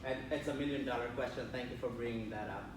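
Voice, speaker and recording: Male academic presenter, medium pitch, distant and very echoey conference recording. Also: fairly even intonation, quick pace. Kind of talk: academic presentation and question answering. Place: conference room, picked up from a distance. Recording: very echoey room, distant mic, clear background noise.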